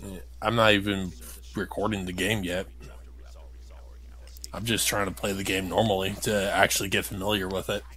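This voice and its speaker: A man's voice: sits low, little pitch movement, moderate pace, long pauses, fairly bright tone. A man playing a video game and commentating casually, with blunt, grumbling remarks. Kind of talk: casual gameplay commentary chat.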